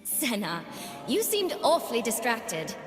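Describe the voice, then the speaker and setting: Game role: high-voiced living doll girl, sweet yet defiant, delicate delivery, long reverb over prominent music. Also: wildly swooping pitch, unhurried. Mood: resolute. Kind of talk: video game character voice lines.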